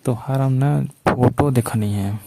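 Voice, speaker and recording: A man's voice: mid-range voice, slow pace, vocal fry, warm tone, dry recording. A young man with a Bhojpuri accent chatting casually on a phone call in a coaxing, persistent way. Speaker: caller. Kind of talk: casual phone chat with an ex-lover.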